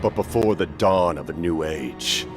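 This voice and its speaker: An actor playing a cold, self-assured, menacing villain in a video game, with a pompous, theatrical delivery. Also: male voice, sits low, expressive, a little slow. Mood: cold menace. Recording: music clearly behind the voice.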